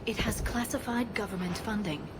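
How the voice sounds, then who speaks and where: A woman playing an earnest alien scientist in a video game, speaking gravely with fear for her people. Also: medium pitch, normal speed, close to an everyday voice. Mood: troubled, puzzled. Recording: loud vehicle and ambient noise behind.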